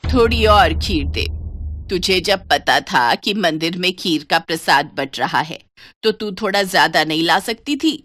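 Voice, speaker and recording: A woman's voice: lowish voice, expressive, a little slow, a bit bright, no echo. A woman voicing a greedy, grumpy old village grandmother who scolds and complains. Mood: grumbling, irritated.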